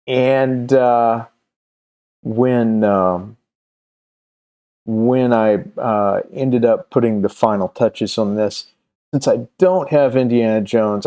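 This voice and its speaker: A man in his fifties giving a casual, promotional vlog talk as a creator, halting and often searching for words. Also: fairly low pitch, dark tone. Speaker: comic creator and channel host. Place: small room at home, indoors.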